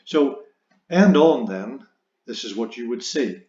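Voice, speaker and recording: A man's voice: mid-range voice, animated, slow, mellow. A man teaching a physics lesson, explaining step by step and stressing key words. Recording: roomy echo, dull lo-fi sound.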